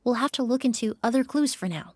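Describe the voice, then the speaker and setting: An actress voicing a composed female perfumer in a game, speaking in a calm, measured, precise way. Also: a little high, dry recording, natural voice. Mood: explaining. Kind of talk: game voice acting. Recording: dry studio recording.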